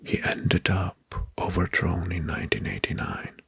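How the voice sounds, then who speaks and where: A man narrating facts as an ASMR host in a hushed, breathy whisper, deliberately repeating phrases. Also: low-pitched, big pitch swings. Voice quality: breathy, mostly unvoiced whisper.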